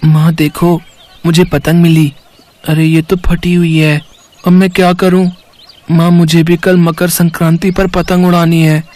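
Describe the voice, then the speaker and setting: Man voicing poor young boy, timid, innocent, pleading, raised pitch, dry recording, storybook. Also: little pitch movement, unhurried, a little mellow, no echo, close to an everyday voice. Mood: wistful. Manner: pleading, meek.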